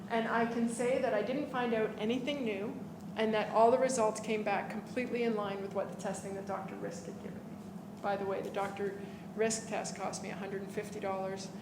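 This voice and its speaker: A woman giving a personal testimony to a live audience at an event, earnest and halting, before she introduces the main speaker. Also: mid-range voice, fairly even intonation. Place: indoor event hall. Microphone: stand microphone. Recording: echoey room with background noise.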